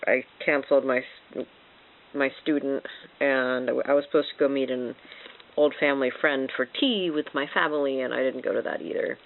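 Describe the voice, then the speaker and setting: A sick woman with a congested, sore-throated voice, chatting casually at home to an old handheld camcorder. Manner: rambling, self-mocking, talks to cats in high baby voice. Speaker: vlogger. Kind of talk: casual vlog chat. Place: home, indoors. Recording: tinny lo-fi camcorder audio.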